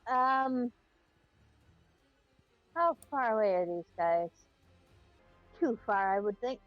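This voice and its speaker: Animated high-voiced woman playing a tabletop game. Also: slow, no room sound, muffled recording. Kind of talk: casual game chat. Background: background music.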